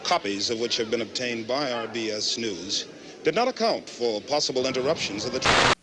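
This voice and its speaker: An actor playing a sober television news reporter, giving a scripted report in a formal, matter-of-fact way. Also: male voice, mid-range voice, lively intonation, normal speed, background noise, natural voice.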